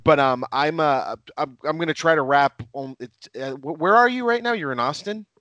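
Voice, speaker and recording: A man's voice: a little high, moderate pace, sharp tone, no room sound, lo-fi sound. Eager stammering male podcast host, dry sound. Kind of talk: casual interview.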